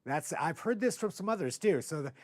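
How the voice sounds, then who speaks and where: An American man with a rough, hoarse voice hosting a casual live interview, talking in halting, rambling phrases. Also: fairly high pitch, animated, no echo. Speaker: TV show host.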